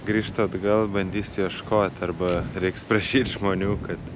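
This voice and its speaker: A man's voice: low-pitched, flat delivery. A young man with a Lithuanian accent, chatting casually to camera while cycling outdoors. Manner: chatty, friendly, quick reactions. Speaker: cycling vlogger. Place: outdoors on a hilly coastal road. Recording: outdoor road and wind noise.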